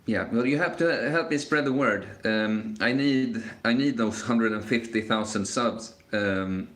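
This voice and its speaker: A young man in his late twenties chats loosely through a live Q&A, stumbling over restarts and filling pauses with "um" and "like". His voice sits a little low for a man and rings around a roomy, echoey space. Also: a bit bright. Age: 20s. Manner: rambling, hesitant, many fillers and restarts. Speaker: live stream host. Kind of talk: casual live Q&A chat. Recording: echoey room.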